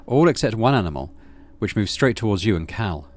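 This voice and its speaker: A man's voice: fairly low pitch, sing-song, fairly quick. A middle-aged man hosting a gaming video, reading story text aloud and adding casual commentary. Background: game music.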